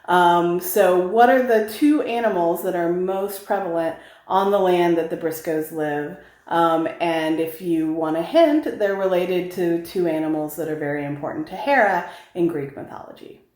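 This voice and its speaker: A woman's voice: on the low side, normal speed, few pauses, a little mellow. Woman novelist in an echoey room. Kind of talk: casual talk to camera about own book. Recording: roomy, echoing home-style recording.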